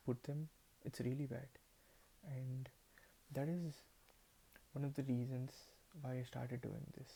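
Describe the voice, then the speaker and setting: Hesitant young man, gravelly low voice. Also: warm tone, low-quality recording. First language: Telugu. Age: 20s. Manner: hesitant, many restarts and repeated words. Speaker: vlogger. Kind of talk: unscripted talk to camera.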